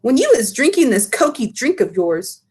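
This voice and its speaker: Woman as excitable old giant man, storybook character, indignant, garbled grammar, very animated intonation. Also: fairly high pitch, moderate pace, breathy edge. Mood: explaining. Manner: mangled grammar and invented nonsense words. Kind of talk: storybook read-aloud character voice.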